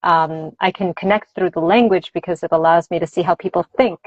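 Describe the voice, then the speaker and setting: A woman whose first language is Spanish speaks in a slightly low voice, explaining things in a conversational, teacherly way. She is reflective and keen on her subject. The sound is dry and a little dull, like a livestream. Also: steady tone, fairly quick, darkish tone, dry recording. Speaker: language teacher and advocate, interview guest. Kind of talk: conversational explaining in a live interview. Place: dry close room on a video livestream.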